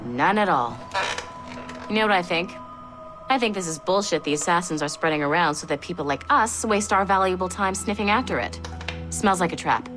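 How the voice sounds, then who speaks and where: A woman's voice: fairly low pitch, expressive, fairly quick, natural voice. An actress playing a skeptical intelligence analyst in a game audio log, casual, blunt and sardonic with her boss. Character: wry, skeptical female intelligence analyst, loyal subordinate. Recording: music under the voice.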